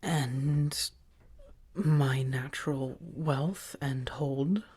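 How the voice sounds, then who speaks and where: A woman playing a protective, affectionate female vampire roommate in a soft-spoken ASMR roleplay, breathy and often near a whisper, with halting, earnest delivery. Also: extremely low pitch, fairly even intonation, exaggerated voice. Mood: grave, confiding.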